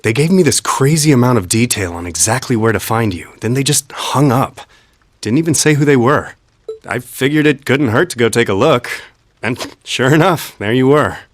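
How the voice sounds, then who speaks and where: An actor playing a gruff but good-hearted young rescuer in an anime game dub, blunt and casual. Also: male voice, mid-range voice, animated, moderate pace, no echo, clean studio sound, natural voice. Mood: offhand, puzzled.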